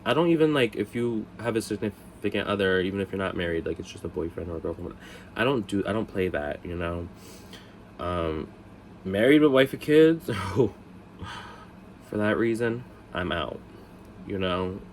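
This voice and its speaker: Low-voiced man with a head cold. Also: expressive, moderate pace, slight background noise. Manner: chatty, rambling, self-mocking asides. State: congested from a cold. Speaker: vlog host. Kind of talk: casual storytime chat.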